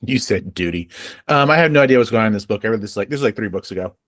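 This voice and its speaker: A man's voice: on the low side, lively intonation, very fast, fairly bright tone, no echo, clean studio sound. A man chatting casually and informally as a co-host on a book podcast. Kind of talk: casual chat.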